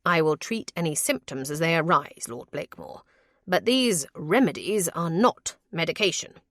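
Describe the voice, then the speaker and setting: A woman's voice: on the low side, animated, moderate pace, fairly bright tone, no echo, clean studio sound. Woman voicing an exacting, emphatic male physician. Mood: heated, scornful. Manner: formal, precise, emphatic. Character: earnest, exacting male physician, scornful of quackery. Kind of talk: audiobook character voice.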